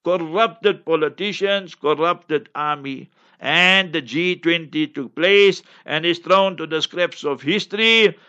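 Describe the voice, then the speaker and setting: Bright, forceful, high-pitched man preaching politics. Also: takes it slowly. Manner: emphatic, blunt, scornful; acts out quotes and rhetorical questions. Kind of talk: fiery political commentary with religious quotations. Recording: dull lo-fi audio.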